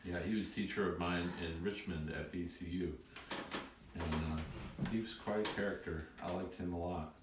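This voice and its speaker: A man's voice: sits low, steady tone, moderate pace, very noisy. A man hosting a music session and chatting plainly and easily between songs. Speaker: fiddler and host. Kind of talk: casual chat between songs. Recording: roomy, distant mic.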